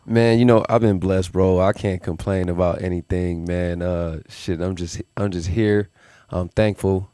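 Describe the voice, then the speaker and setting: A man's voice: sits low, steady tone, measured pace, dark tone, clean tone, no room sound, studio quality. A man in his thirties, a musician from Milwaukee raised in Las Vegas, talking in a laid-back, reflective way with lots of fillers in a casual podcast interview. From Milwaukee, Wisconsin; raised in Las Vegas. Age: 30s. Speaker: musician guest.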